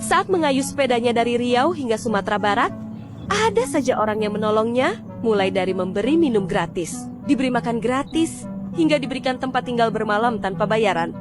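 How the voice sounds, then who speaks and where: Brisk woman narrating over music. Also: a little high. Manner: steady storytelling read. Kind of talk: scripted news-style voice-over. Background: background music.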